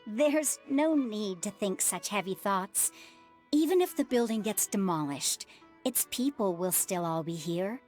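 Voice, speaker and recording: A woman voicing a kind middle-aged former adventurer and widowed mother, speaking gently and reassuringly over soft music. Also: mid-range voice, expressive, a little slow, close to an everyday voice. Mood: calm. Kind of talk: game voice acting.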